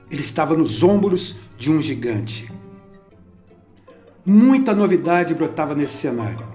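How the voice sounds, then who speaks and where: A man reads a documentary script in a measured, steady way. His voice sits a touch high yet sounds mellow and warm. The sound is roomy, with faint instrumental music underneath. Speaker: documentary narrator. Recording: roomy narration over soft instrumental music.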